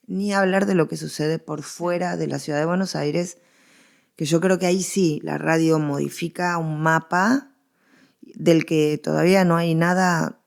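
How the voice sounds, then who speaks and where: A woman's voice: low voice, gravelly. A middle-aged woman with a Rioplatense Argentine accent, explaining as an expert guest in a conversational interview, emphatic and given to digressions.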